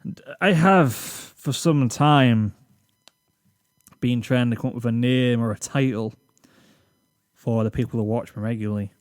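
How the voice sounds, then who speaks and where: A man's voice: mid-range voice, unhurried, long pauses, dry recording. Awkward, rambling British man, dry sound. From Britain. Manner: rambling, self-deprecating, awkward. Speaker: YouTube channel host. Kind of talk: scripted channel reflection. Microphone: desk microphone.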